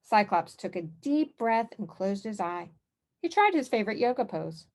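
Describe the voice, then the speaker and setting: A woman's voice: mid-range voice, very animated, normal speed, a little mellow. A woman narrating a children's picture book aloud as its author.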